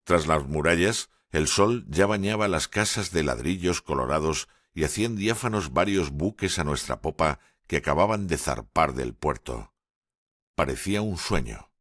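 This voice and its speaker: A man narrating an audiobook in the first person, in a measured, steady reading. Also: a bass voice, no echo, clean studio sound.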